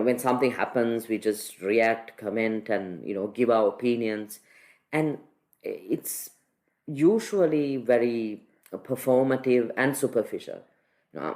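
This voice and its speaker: Low-pitched woman from Sri Lanka, reflective. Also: warm tone. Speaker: podcast panelist. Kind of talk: reflective conversational commentary.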